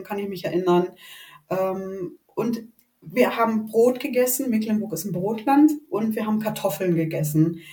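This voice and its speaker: A woman's voice: medium pitch, a little mellow. A woman in her forties with a northern German accent, chatting hesitantly as she tells her own story as a podcast guest. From Rostock, northern Germany. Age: 40s (48). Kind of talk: personal story in a casual interview. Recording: echoey room, distant from the mic.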